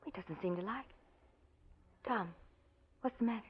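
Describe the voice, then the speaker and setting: A woman's voice: mid-range voice, measured pace, slight background noise, natural voice. A woman acting a cheery hospital nurse who coaxes and fusses over her patient in an old radio drama. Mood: gentle, concerned. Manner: sing-song, coaxing, talks down fondly. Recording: muffled old radio recording.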